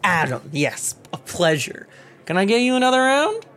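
An actor playing a jovial, drunken Confederate soldier who rambles in an over-friendly, chatty way. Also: male voice, high-pitched, sing-song, moderate pace, fairly bright tone, a little hiss or hum. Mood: tipsy, rambling.

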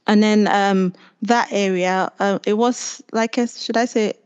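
A woman's voice: medium pitch, fairly even intonation, measured pace, darkish tone, smooth, lo-fi sound. A woman in her thirties from Ghana, chatting as a panel guest and telling stories with hesitations. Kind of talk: casual conversation.

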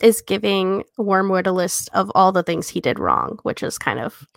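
A woman's voice: mid-range voice, big pitch swings, normal speed, creaky, dry recording, clean studio sound. A woman co-hosting a podcast, talking through a book in a casual, reflective, conversational way. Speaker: podcast co-host.

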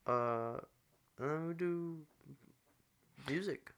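Easygoing guy in his 20s, smooth clear voice, giggly casual podcast banter. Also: on the high side, slow pace, a bit bright. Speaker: podcast co-host. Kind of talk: casual chat.

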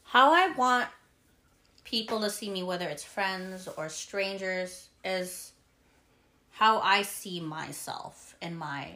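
A woman's voice: medium pitch, animated, bright, forceful tone. Woman in her thirties, echoey room. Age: 30s. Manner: slow, searching, piles up restarts. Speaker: podcast co-host. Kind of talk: casual chat.

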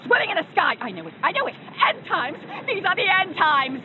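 A woman's voice: extremely high pitch, big pitch swings, brisk. A woman playing a frantic, foul-mouthed older woman calling emergency services in a comic horror audio skit, over a phone line. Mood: alarmed, indignant. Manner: frantic, exclaiming, breaking off and repeating words. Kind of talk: comedy audio horror parody. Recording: dull, very noisy emergency phone call.

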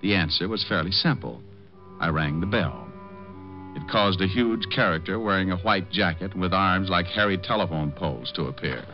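Casting: cocky gumshoe narrator, low voice, dry wisecracks, old lo-fi radio sound. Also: male voice, expressive, moderate pace. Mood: wry, narrating. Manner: dry, flippant, smooth-talking. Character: wisecracking, cocky private detective, breezy and sardonic. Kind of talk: 1940s radio detective drama, acting and narration. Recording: dull old radio recording with music.

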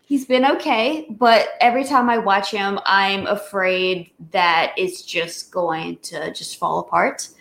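Slow, hesitant woman in a roomy echo. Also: medium pitch, fairly even intonation, vocal fry, a bit bright. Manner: hesitant, lots of fillers and restarts. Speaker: podcast guest. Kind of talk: casual podcast chat.

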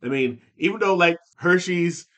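A podcast co-host with a slightly raised pitch talks in a loose, casual way. He rambles through his points, circling back and restarting sentences as he thinks aloud. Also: animated, normal speed, a bit bright. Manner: rambling, many fillers and restarts.

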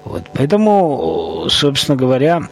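A man hosting a podcast, talking in an informal, opinionated monologue with rhetorical questions and halting, colloquial phrasing that repeats words. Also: fairly high pitch, wildly swooping pitch, a little hiss or hum. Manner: argumentative, poses rhetorical questions. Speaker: podcast host.

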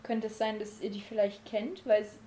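Woman, roleplaying player, mellow voice, chatty and rambling, faint background noise. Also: medium pitch, level tone, moderate pace, poor audio. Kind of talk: in-character roleplay chat.

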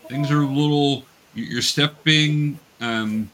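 A man's voice: on the high side, slow, a bit bright, poor audio. A man acting as game master, casually narrating and patiently explaining the game to new players in an encouraging way.

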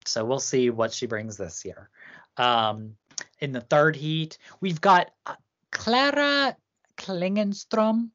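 A woman's voice: sits low, animated, slow, a bit bright, highs cut off. A woman co-hosting a podcast, chatting casually and rambling with lots of "like". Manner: chatty, rambling, heavy use of "like". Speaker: podcast co-host. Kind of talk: casual chat about song contests.

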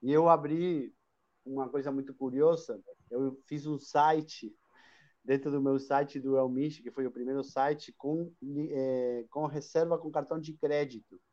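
A man's voice: mid-range voice, steady tone, measured pace, a little mellow, highs cut off. A man from Argentina with an Argentine accent, a Spanish speaker talking as a guest in a live online interview, talkative with many restarts and tag questions. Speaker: guest, hostel chain owner.

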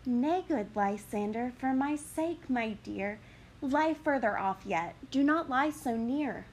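A woman voicing a modest, gentle young woman, reading verse slowly. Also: high voice, expressive, slight background noise. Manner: gentle, measured verse delivery.

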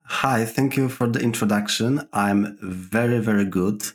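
A man's voice: fairly low pitch, measured pace. A man from Poland with a Polish accent, a podcast guest who explains his views with examples on a call. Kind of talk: casual interview chat. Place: remote call.

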